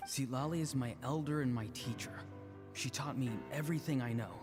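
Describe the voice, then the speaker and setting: A man's voice: mid-range voice, a little fast, natural voice. Earnest young mystic played by a man, echoing reverb. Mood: urgent, resolute. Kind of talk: dramatic video game voice acting. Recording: long echoing reverb under game music.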